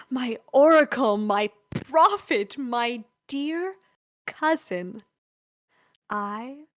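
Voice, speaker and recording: Woman as a slow, high-voiced sly duke. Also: a bit bright, heavily acted. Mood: hushed flattery. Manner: deliberate, formal, ingratiating. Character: sly royal duke feigning humble, pious devotion. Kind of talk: Shakespearean radio play acting.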